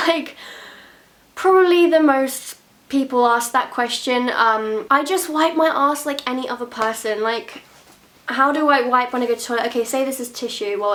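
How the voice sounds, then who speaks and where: Young woman vlogging to camera, chatty and frank, slightly high voice, nasal from a cold. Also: a bit bright. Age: 20s. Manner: chatty, frank, laughs often. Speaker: beauty vlogger. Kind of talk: casual talk to camera.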